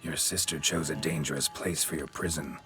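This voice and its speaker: An actor playing a stoic, weary monster hunter in a video game, gruff, terse and deadpan. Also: male voice, extremely low pitch, brisk, soft background music. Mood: guarded, questioning.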